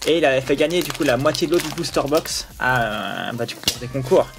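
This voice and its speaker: A man's voice: mid-range voice, expressive, fairly quick, gravelly, noisy background. A young man chatting casually with a friend as he opens card packs, rambling and laughing often. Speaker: co-host. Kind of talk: casual chat while opening card packs. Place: small room indoors. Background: faint music.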